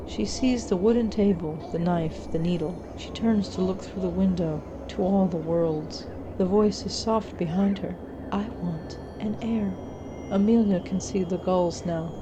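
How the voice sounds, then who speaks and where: A woman reading a scripted horror story in a measured, dramatic storytelling manner. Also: medium pitch. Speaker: story narrator.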